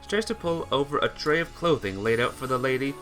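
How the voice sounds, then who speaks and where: A man giving an expressive, theatrical reading of a visual novel as narrator, occasionally stumbling over words. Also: medium pitch, fast, soft background music.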